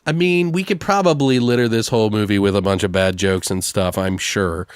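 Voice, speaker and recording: A man hosting a podcast, chatting casually and rambling through a story pitch with some swearing. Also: a little fast, clean tone, dry recording, studio quality. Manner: rambling, profane, animated retelling. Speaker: podcast host.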